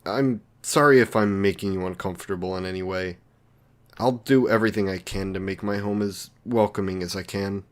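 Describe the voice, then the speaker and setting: A man's voice: low voice, steady tone, brisk, close to an everyday voice. A man playing an awkward, lonely, considerate villain in an intimate roleplay drama, speaking in a hesitant, apologetic, polite way. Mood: apologetic, nervous.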